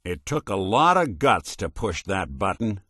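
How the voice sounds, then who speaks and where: A world-weary robot private eye from a video game, speaking in a low register with very expressive swings of pitch. He is sardonic and principled, delivering hard-boiled noir lines with a dry, folksy turn. The recording is close and dry. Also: unhurried, husky, no echo, heavily acted. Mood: somber, reflective. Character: weary, wry male android detective, world-worn but principled.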